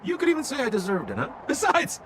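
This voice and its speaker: A man's voice: extremely high pitch, wildly swooping pitch, quick pace, fairly bright tone, slight background noise, exaggerated voice. A man voicing a slick, theatrical male con-man relic dealer in a video game, with glib, wheedling salesman patter. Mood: mock wounded.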